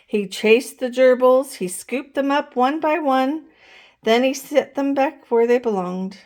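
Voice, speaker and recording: A woman reading a children's picture book aloud in an expressive, deliberate way, as if to young listeners. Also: high-pitched. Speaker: storytime reader.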